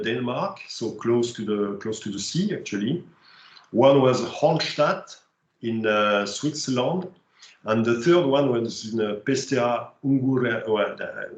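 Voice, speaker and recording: Hesitant man lecturing in an echoey room. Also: fairly low pitch. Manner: hesitant, many fillers and restarts. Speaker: scientist giving a lecture. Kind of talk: academic presentation with slides.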